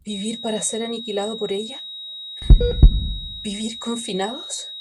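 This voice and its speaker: An actress playing a radio announcer who reports an attack from an emergency station, then narrates calmly. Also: female voice, a little high, heavy background noise, close to an everyday voice. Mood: solemn. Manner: measured, solemn reporting. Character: female emergency news announcer, shaken but composed. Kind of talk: radio drama acting.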